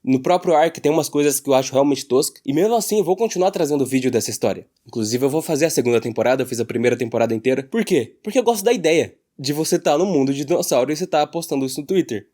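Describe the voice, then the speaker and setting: A man's voice: medium pitch, lively intonation, racing, hardly any pauses, dark tone. A Brazilian man giving casual opinion commentary as a YouTuber, in an informal, slangy way.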